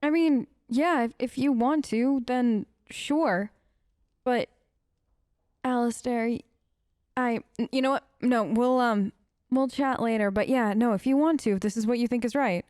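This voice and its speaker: A woman let's player improvising in character over a game, conversational, gentle and reassuring, pausing as she finds her words. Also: a little high, no room sound, clean studio sound.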